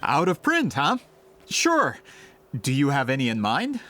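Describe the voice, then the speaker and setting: A man playing a polite, flattering, persistent merchant in a video game, courteous and eager to please. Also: a little high, a little slow, heavily acted. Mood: puzzled.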